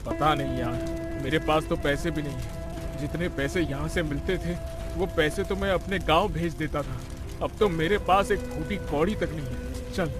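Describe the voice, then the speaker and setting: An actor playing a poor, humble, worried young husband in a cartoon story, earnest in manner. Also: male voice, a little high, normal speed, music in the background, natural voice. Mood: dejected.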